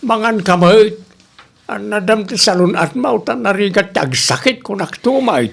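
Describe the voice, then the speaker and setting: A man's voice: sits high, lively intonation, moderate pace, vocal fry, a bit bright, husky, exaggerated voice. A man playing a stern, worried father in an amateur audio drama, scolding and admonishing in an Ilocano accent. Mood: worried nagging. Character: stern, worried, nagging father of a grown daughter.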